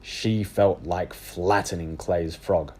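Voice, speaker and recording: A man reading a children's book aloud as animated storybook narration. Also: deep voice, slow pace, slightly rough.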